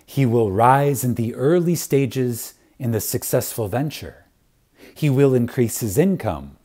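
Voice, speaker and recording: Slow, reverent man with a husky, mellow voice. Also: medium pitch, animated. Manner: reverent, deliberate, with long pauses and emphasis, phrases trailing off softly. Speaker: reader of a spiritual lecture. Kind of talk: dramatic reading of an inspirational lecture.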